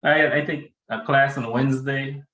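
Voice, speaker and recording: A man's voice: mid-range voice, steady tone, normal speed, sharp tone. A man, a student in an online class, chatting in a rambling, easygoing way over a video call. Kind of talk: casual chat. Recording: roomy echo on a video call.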